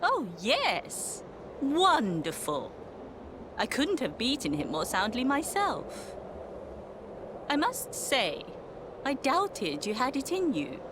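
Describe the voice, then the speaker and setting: Slow, imperious female martial master. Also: high voice, wildly swooping pitch, background noise, heavily acted. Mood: grudging approval. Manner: commanding, haughty, measured. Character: stern, imperious female martial arts master, grudging with praise. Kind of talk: dramatic video game voice acting. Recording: loud music behind the voice. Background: loud game music.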